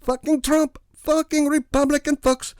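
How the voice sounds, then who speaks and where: A man voicing a loud, excitable van driver in a high strained voice. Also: measured pace, no echo, studio quality. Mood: bellowing.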